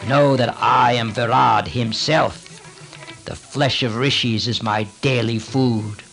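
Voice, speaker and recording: A man playing a bloodthirsty giant demon, voice dropped low and distant in tone, taunting and threatening. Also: fairly even intonation, slow pace, gravelly, fairly bright tone, soft background music. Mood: taunting, menacing. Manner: taunting, menacing. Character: huge man-eating male demon, bloodthirsty, mocking.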